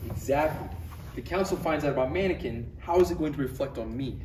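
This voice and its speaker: A man's voice: a little high, fast talker, darkish tone, lightly acted. A man playing an anxious, earnest Jedi master, hesitant and flustered, in a read-through of a parody script. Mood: worried. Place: room at a table read. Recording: roomy distant recording with clear noise.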